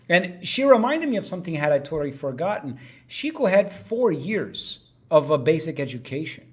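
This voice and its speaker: A man's voice: on the high side, sing-song, normal speed. A man hosting a conversational interview, speaking emphatically and chiming in on the guest's points. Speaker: host.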